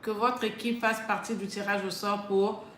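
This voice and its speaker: A woman's voice: mid-range voice, fairly even intonation, moderate pace, fairly bright tone, reverberant, a little hiss or hum. A woman with a slight cold hosting a live prize draw, talking straight to her viewers and often repeating herself. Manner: chatty, addresses viewers directly, repeats herself. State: slightly congested with a cold, clears throat. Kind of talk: live stream talk to viewers. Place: indoors, alone.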